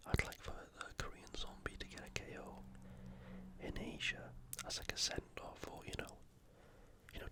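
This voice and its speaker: Asmr host whispering the whole time, chatty casual sports commentary. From United Kingdom. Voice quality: whispered, unvoiced. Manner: casual, conversational. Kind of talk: ASMR fight preview commentary.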